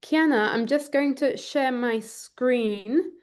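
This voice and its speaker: A woman's voice: high-pitched, slow, a little mellow. A woman law lecturer, born in Trinidad and raised in London, giving an online presentation with many ums and restarts. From Trinidad and Tobago, raised in London. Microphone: video call.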